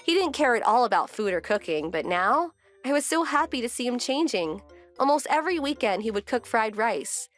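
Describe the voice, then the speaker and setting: A woman's voice: a little high, animated, moderate pace, soft background music, close to an everyday voice. A woman playing a composed, wry wife who narrates her domestic story in a scripted manga dub. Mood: calm.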